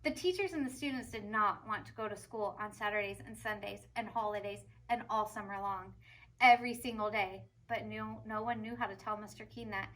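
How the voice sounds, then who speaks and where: A woman reading a picture book aloud as a teacher would to children, expressive and emphatic, with asides to young listeners. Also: medium pitch, a little fast, poor audio.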